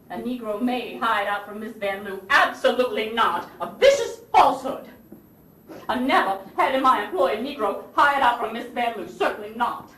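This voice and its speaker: Actress as a high-voiced proud Southern First Lady, distant. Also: fairly bright tone. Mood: indignant, scornful. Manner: theatrical, genteel, emphatic, swinging from anguish to haughty scorn. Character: proud, indignant Southern First Lady of the Confederacy, wounded mother. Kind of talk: stage play monologue. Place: theatre stage, recorded from a distance. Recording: roomy distant stage recording with hiss.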